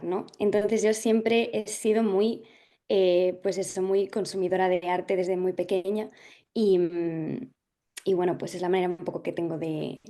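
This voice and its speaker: A young woman from Galicia, an actress, talking through an interview thoughtfully, with frequent pauses and fillers as she searches for words. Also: medium pitch, dark tone. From Galicia, Spain. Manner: thoughtful, hesitant, many fillers and restarts. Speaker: actress guest. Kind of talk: casual interview chat.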